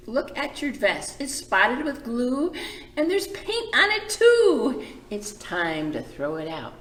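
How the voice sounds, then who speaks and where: Storybook mother, played by a female reader: exasperated, emphatic singsong scolding, very lively pitch, roomy echo. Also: slow, far from the mic, exaggerated voice. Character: fussy, exasperated mother scolding her young son.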